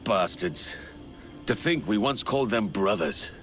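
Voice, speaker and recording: A resolute pirate leader with a low, deep voice. He speaks gravely and with purpose, as in a game cutscene, over faint music. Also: expressive, measured pace, a bit bright. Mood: grim resolve. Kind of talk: video game cutscene acting.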